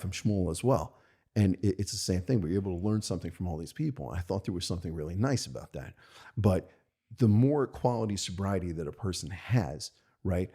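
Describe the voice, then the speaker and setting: A low-voiced American man with a mellow, husky tone. He tells personal stories in an open, conversational way. He restarts often and tags his sentences with "right?". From United States. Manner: thoughtful and halting, many restarts and "right?" tags. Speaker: podcast guest, rabbi. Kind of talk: candid conversational storytelling.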